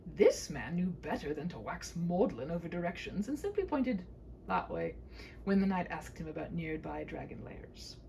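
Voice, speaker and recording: A woman's voice: fairly low pitch, big pitch swings, moderate pace, creaky, dark tone, a little hiss or hum. A woman reading a humorous story in a whimsical, mock-formal way, with wry asides.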